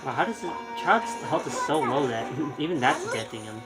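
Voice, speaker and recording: A man's voice: a little high, big pitch swings, moderate pace. A young man giving casual live gameplay commentary, rambling and thinking aloud. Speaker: gaming streamer. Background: game music.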